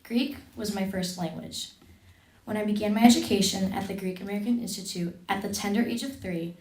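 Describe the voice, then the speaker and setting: A woman's voice: mid-range voice, fairly quick, echoey room, poor audio. A teenage girl reading a formal, earnest thank-you speech as a scholarship recipient at an event. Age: teens. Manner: formal, earnest, steady delivery. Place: event hall.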